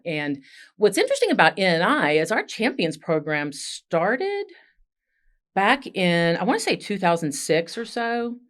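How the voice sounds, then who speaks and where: A woman in her fifties with a notably low voice talks to a podcast host. She is outspoken and loud, and she tells her story in long, easy stretches. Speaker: guest, software engineering leader. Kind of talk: casual podcast interview.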